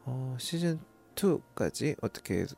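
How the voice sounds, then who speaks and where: A man hosting a stock tip broadcast solo, speaking politely and plainly with hesitant pauses. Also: mid-range voice, very animated, gravelly, a little mellow, faint music behind. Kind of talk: informal solo stock recommendation talk.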